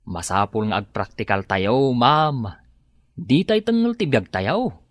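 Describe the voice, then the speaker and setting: Animated, devout young Ilocano worker. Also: male voice, mid-range voice, slow pace, dry recording, clean studio sound. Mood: earnest. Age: 20s. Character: young male employee, practical, devout, respectful. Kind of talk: Ilocano radio drama acting.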